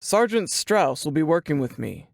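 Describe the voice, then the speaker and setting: Woman doing a gravelly, low-key male detective. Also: big pitch swings, normal speed, dry recording, clean studio sound, full character voice. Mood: restrained. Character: male police detective, an old high school sweetheart. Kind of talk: audiobook character dialogue.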